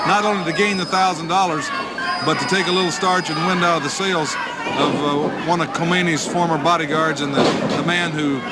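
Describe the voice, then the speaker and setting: A man giving chatty, anecdotal ringside commentary at a wrestling show. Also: high voice. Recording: loud arena crowd and noise.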